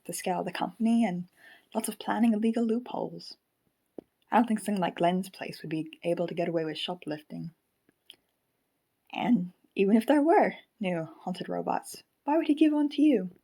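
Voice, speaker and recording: A woman's voice: mid-range voice, lively intonation, normal speed, creaky, a little mellow. A woman acting in an audio drama as a shrewd female robot companion, analytical and teasing. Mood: suspicious.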